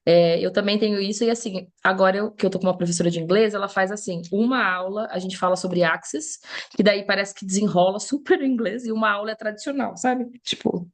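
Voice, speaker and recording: A woman's voice: medium pitch, fast talker. A woman hosting a casual conversation, affirming her guest with short agreeing interjections. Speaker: host.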